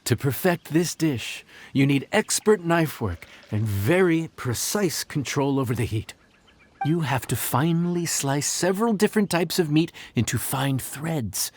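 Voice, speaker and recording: An actor playing a modest, patient young male chef in a video game, explaining in a measured, earnest way. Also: a little high, a little mellow.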